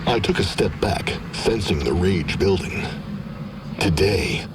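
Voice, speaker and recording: A man with a low voice narrates a scripted horror tale in the first person. Clear ambient noise runs behind his reading. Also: expressive, a little slow.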